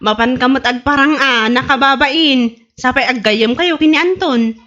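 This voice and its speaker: A woman's voice: high-pitched, normal speed, bright, forceful tone, highs cut off. A woman playing an exasperated, scolding village neighbour in an Ilocano radio comedy drama, speaking with an Ilocano accent. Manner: scolding, complaining.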